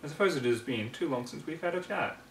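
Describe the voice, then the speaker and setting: A man's voice: fairly high pitch, expressive, quick pace, fairly bright tone. A man voicing a refined, fussy female unicorn with genteel, dramatic manners in a fan story reading. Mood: gracious. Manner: genteel, dramatic, formal wording. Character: refined, fussy lady unicorn, polite but quick to snap. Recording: roomy, distant mic, faint noise.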